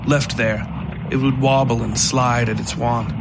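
A man reading literary fiction as scripted narration, deliberate and descriptive in delivery. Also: mid-range voice, fairly even intonation, heavy background noise. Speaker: audiobook-style narrator. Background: music.

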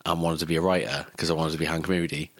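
A man's voice: rumbling low, little pitch movement, fast talker, fairly bright tone, no echo. A young man, chatting casually and informally as an interview guest, recalling his life with some swearing. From Cardiff, Wales, born in Greece. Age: young adult. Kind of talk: casual chat, personal recollection.

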